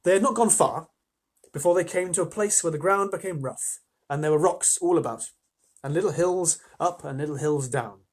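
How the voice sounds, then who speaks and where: High-pitched, husky male storyteller. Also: normal speed. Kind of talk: expressive children's story reading with casual asides.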